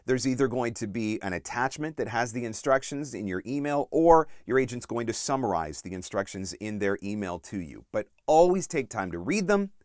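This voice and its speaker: A man giving instructional tips as a voice-over coach, speaking directly and emphatically with deliberate stress on key points, close on the mic. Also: mid-range voice, no echo. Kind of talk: instructional video talk to camera, numbered tips.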